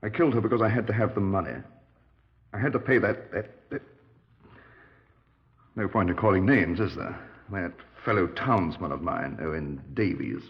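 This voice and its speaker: Deep-voiced, callous major, old radio. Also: male voice. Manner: halting, matter-of-fact. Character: callous, resigned retired major confessing to killing his wife. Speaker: actor playing a murder suspect. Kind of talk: 1950s radio drama acting. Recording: dull vintage 1950s radio recording.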